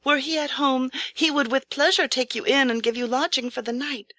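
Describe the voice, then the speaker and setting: A woman's voice: high-pitched, moderate pace, sharp tone, no echo, pitched above the performer's own voice. A woman voicing a modest, politely firm wife in an audiobook tale, formal and apologetic. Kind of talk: audiobook character voice.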